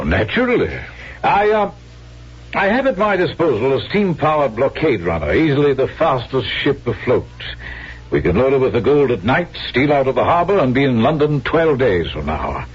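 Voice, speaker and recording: A man acting a shrewd foreign arms dealer in a 1970s radio drama, with formal, drawn-out, condescending phrasing. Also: mid-range voice, husky. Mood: smoothly persuasive. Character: shrewd, smooth male foreign arms dealer, coolly mercenary. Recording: muffled vintage radio broadcast.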